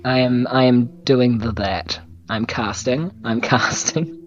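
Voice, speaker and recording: A man with a New Zealand accent plays a tabletop game, talking casually. His medium-pitched voice comes through a little dull. He feels his way tentatively through ideas, thinking aloud. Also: steady tone, takes it slowly.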